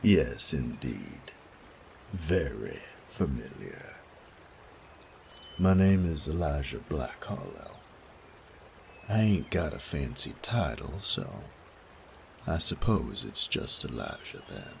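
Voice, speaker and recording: A man's voice: extremely low pitch, big pitch swings, slow pace, vocal fry, long pauses, slight background noise, voice pushed down, heavily acted. A man playing a cocky young commoner turned knight in an audio drama, speaking in a dry, casual, unpolished way. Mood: dry politeness. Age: young adult. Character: low-voiced young rogue commoner, newly made knight, cocky. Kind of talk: audio roleplay drama acting.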